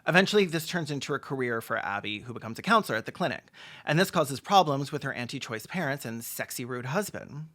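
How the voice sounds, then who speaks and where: A video commentator giving sarcastic, comedic film commentary with deadpan asides and mock-dramatic emphasis. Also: medium pitch, fast talker.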